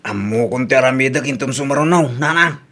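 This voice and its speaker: A man's voice: mid-range voice, brisk, bright, forceful tone, natural voice. A man with a Filipino accent playing a poor, thrifty young suitor who is plain-spoken and aggrieved, in a radio comedy-drama. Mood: downcast. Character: poor, cheap, laid-back young suitor, somewhat sheepish.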